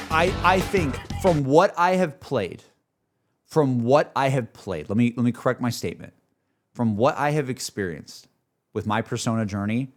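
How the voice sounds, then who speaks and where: A man chatting casually as a streamer, effusive and slangy, repeating his phrases for emphasis. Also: mid-range voice. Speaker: streamer and video host. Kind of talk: casual live reaction chat to game music. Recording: music playing behind the voice. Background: video game battle music.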